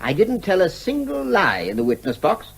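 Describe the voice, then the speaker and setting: An English actor playing a cheeky, unflappable out-of-work performer posing as a neighbour in a comic radio drama, jaunty and wryly genteel. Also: male voice, sits high, huge pitch swings, normal speed, vocal fry, breathy edge, full character voice. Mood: pleased.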